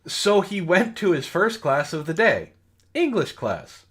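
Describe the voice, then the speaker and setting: A man's voice: high-pitched, very animated, a little slow, a bit bright, husky. A man hosting a casual comedic read-aloud, breaking off to react with amused exasperation and swearing. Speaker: host of a comedy book club reading. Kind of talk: casual read-aloud of bad fan fiction with amused commentary.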